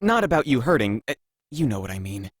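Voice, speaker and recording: A man's voice: mid-range voice, very animated, a little fast. An actor playing an anxious, tender young male lover in a visual novel, hesitant and flustered. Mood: flustered concern.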